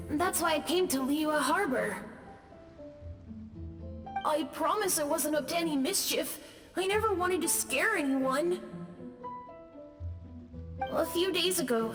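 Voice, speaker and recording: A woman's voice: high-pitched, measured pace, pauses often. A woman voicing a timid, lost young boy ghost who talks hesitantly and apologetically, in a video game. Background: game background music.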